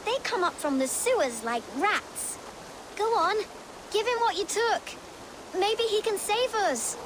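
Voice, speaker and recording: Young English girl thief, very high pitch, cheeky, sassy, defiant, slangy, video game cutscene, noticeable background ambience. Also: lively intonation, a little slow, background noise. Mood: scheming. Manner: sassy, colourful slangy banter.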